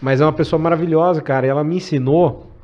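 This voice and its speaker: Guest, male, 40s, relaxed chatty delivery, dull lo-fi podcast sound. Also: on the high side, quick pace. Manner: relaxed, says cara and né often. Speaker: podcast guest, tech executive. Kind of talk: casual podcast conversation.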